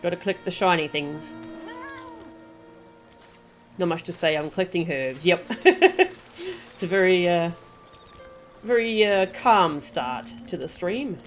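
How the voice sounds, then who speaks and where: A woman's voice: on the low side, lively intonation, slow, long pauses, soft background music. A woman giving casual live commentary as she streams a video game.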